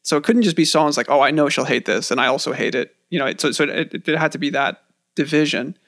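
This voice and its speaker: A man's voice: on the high side, fast, fairly bright tone, no room sound, studio quality. A man co-hosting a casual music podcast, wry and joking. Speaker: podcast co-host. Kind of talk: casual chat.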